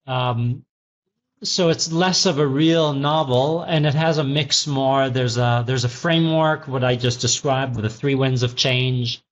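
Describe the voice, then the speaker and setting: A man's voice: mid-range voice, measured pace. Middle-aged male guest, former CEO, reflective and teacherly, slightly muffled remote audio. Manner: thoughtful, many ums and restarts, teacherly. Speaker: guest, former tech CEO turned executive coach. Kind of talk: interview answers, reflective storytelling.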